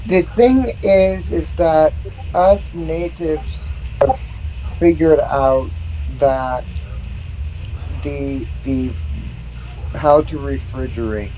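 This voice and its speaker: A man chats on a livestream at a very slow pace, repeating words as he goes and laughing easily. His voice sits a little high. A faint hiss runs behind him. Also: mellow, low-quality recording. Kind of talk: casual chat.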